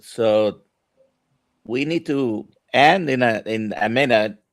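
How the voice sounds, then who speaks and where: A man's voice: mid-range voice, takes it slowly, no echo, clean studio sound. A man hosting a livestream casually, steering the conversation with short questions and remarks. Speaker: livestream moderator.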